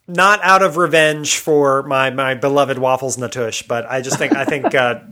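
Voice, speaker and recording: A man's voice: medium pitch, steady tone, normal speed, bright, forceful tone. Upbeat man hosting a casual chat. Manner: upbeat, laughing often, leads the matchups. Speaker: podcast host.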